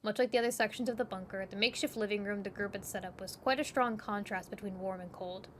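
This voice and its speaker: A woman with a medium-pitched voice narrates an audio drama in the second person. It is scripted, descriptive storytelling. Clear noise sits behind her voice. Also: fast talker, fairly bright tone.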